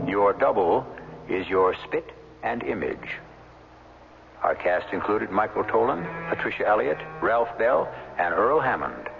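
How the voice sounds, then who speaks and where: A man's voice: fairly low pitch, vocal fry. A man hosting and narrating a radio mystery program in a formal, theatrical storytelling style. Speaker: host and narrator. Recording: tinny 1970s radio broadcast, music behind.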